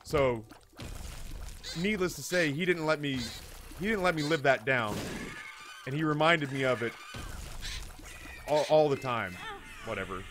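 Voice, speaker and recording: A man, telling a comedic story casually in an animated, hyperbolic, profane way as a streamer. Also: on the high side, unhurried, long pauses. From United States. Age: 30s. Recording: music behind the voice. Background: background music.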